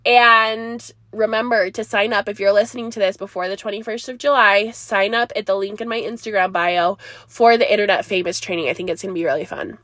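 Young woman w a stuffy nose rambling on her podcast from her car, higher voice, dry close sound. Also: a bit bright, no echo. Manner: rambling, chatty, laughs often. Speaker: podcast host. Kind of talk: casual solo podcast chat. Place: parked car.

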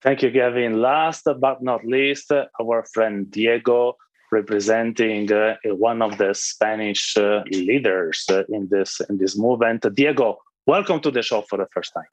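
A man's voice: fairly low pitch, steady tone, unhurried, dry recording. Hesitant male panel host, dull sound. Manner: hesitant, many fillers and hedges. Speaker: podcast host and panel moderator. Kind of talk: hosting a panel discussion. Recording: dull, dry lo-fi audio.

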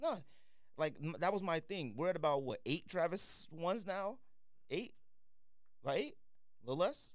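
A man's voice: fairly high pitch, lively intonation, moderate pace, long pauses, no echo, clean studio sound. A man chatting casually as a podcast co-host, slangy and emphatic, often sounding like he has a complaint. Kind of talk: casual chat.

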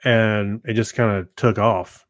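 A middle-aged man co-hosting a podcast, talking through tech topics in a halting, loosely phrased way. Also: fairly low pitch, fairly even intonation, no echo, studio quality. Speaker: podcast co-host. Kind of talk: casual tech chat.

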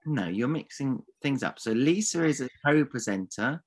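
A man's voice: medium pitch, lively intonation, moderate pace, husky. A man co-hosting a comedy podcast, teasing and theatrically mock-insulting his partner. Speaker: podcast co-host. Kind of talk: comic banter.

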